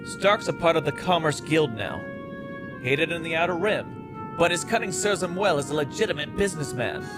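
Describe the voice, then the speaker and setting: Casting: male actor for respectful veteran soldier in comic dub, higher-than-average voice, earnest, under very loud music. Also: expressive, normal speed, close to an everyday voice. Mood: grave. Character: loyal battle-hardened soldier, earnest and respectful. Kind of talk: dramatic comic dub acting.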